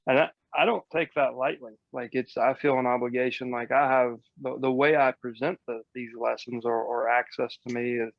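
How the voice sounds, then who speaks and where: A man with a noticeably creaky, gravelly voice talks like a coach on a casual group call. He is earnest and colloquial, and the audio is close and dry but slightly dull. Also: mid-range voice, fairly even intonation, moderate pace, hardly any pauses, no echo. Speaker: host and real estate coach.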